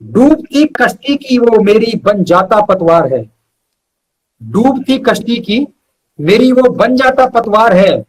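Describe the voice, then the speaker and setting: High-pitched husky man reciting poetry. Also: sing-song, takes it slowly, poor audio. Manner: repeats lines for emphasis. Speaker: poet, interview guest. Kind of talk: poetry recital with repeated lines and short asides to listeners.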